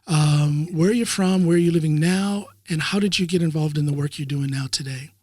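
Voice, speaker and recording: A man's voice: fairly high pitch, fairly quick, darkish tone, no room sound. A man from the United States with a slightly raised voice, hosting a casual interview. He chats easily, often repeating a word or two before his thought comes out. The sound is dry and close. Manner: friendly, repeats words when starting. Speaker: podcast host.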